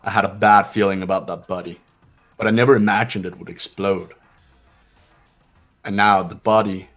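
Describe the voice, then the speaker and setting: A man plays a coolly analytical student in a visual novel game. His voice sits fairly low, composed and deliberate with an air of command, over soft music. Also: fairly even intonation. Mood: calm deduction. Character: cool, commanding, analytical teenage male student.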